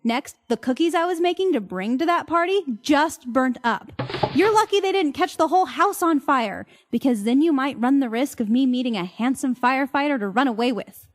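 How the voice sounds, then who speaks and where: An actress in an audio play playing an irate, sarcastic, fed-up wife with a theatrical, exasperated way of talking. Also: female voice, high-pitched, lively intonation, moderate pace. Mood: ranting. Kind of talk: audio drama dialogue.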